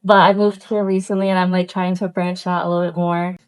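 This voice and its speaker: A woman from Pennsylvania speaks in a smooth voice with little pitch movement. She is shy and hesitant, feeling her way through a casual self-introduction. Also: flat delivery, brisk. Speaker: girl group member.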